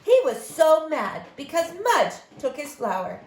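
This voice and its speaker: A woman's voice: high-pitched, very animated, unhurried, slight background noise. A woman reading a picture book aloud expressively to young listeners. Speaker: story reader for children. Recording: echoey room.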